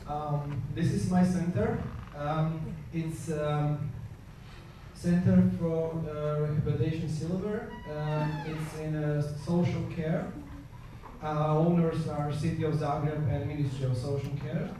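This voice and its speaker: A man from Croatia with a Croatian accent, speaking English as a second language and giving a conference presentation, halting often with fillers and restarts. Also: on the high side, background noise. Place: conference hall. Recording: echoey hall, voice far from mic.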